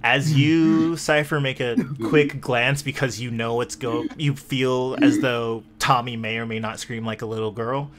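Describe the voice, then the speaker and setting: A man narrating a tabletop roleplaying game as game master, improvising dramatically with deliberate pauses. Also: medium pitch, steady tone, a bit bright, slight background noise.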